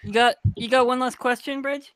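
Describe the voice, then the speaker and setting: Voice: high voice, steady tone, normal speed, fairly bright tone, smooth, no room sound. A young adult podcast panelist speaking casually, frankly and emphatically in a group discussion.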